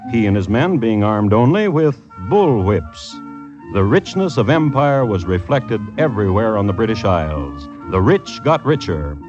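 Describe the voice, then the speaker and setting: A dull old radio recording with music behind a man narrating a crime tale. His voice is lowish and very varied in pitch, with droll, deadpan irony in a scripted 1950s style. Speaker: host and narrator.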